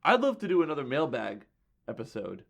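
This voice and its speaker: Man, podcast co-host, gravelly voice, teasing, playful banter. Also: medium pitch, wildly swooping pitch, a little fast, a bit bright. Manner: joking, teasing. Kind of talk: casual chat.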